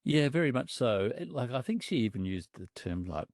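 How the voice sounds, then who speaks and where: A man's voice: mid-range voice, sing-song, moderate pace, darkish tone, dry recording, clean studio sound. A man from Australia speaking as a guest in a conversational interview. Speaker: interview guest, historian and writer.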